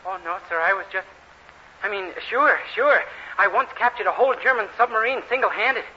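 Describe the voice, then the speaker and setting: An American man playing a naive, eager, dim-witted young man in an earnest, excitable 1940s radio comedy style. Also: high-pitched, fairly quick. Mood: eager, boastful. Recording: hissy, muffled old radio recording.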